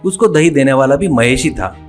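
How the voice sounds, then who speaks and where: Animated male storyteller, swooping pitch. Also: fairly quick, faint music behind. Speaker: narrator. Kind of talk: animated moral story narration.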